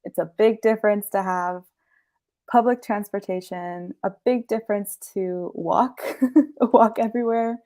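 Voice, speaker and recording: A woman's voice: mid-range voice, measured pace. A young woman who grew up in the US, chatting as a guest in an easy, friendly way with small laughs. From Peru, grew up in the US. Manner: friendly, laughs between phrases, relaxed. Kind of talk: casual interview chat.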